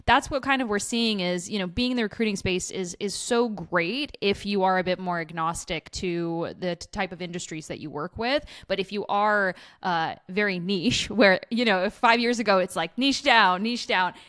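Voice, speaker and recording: A woman's voice: mid-range voice, normal speed, hardly any pauses, fairly bright tone, no echo, studio quality. A woman from eastern Ukraine, a recruiting agency CEO, speaking candidly as a podcast guest and laughing often. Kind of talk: podcast interview.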